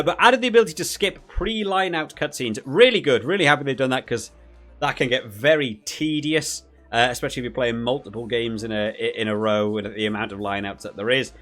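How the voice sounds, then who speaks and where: A man giving casual, unscripted review commentary as a gaming video creator, thinking aloud and softening his opinions in a conversational way. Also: mid-range voice.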